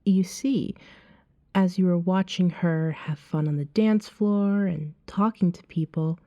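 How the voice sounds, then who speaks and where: Seductive female vampire woman acting, ASMR roleplay. Very slow, soothing, often whispered; slightly dull lo-fi recording. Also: on the low side, fairly even intonation, dark tone, natural voice. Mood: frank, curious. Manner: soothing, hypnotic, unhurried, often dropping to a whisper.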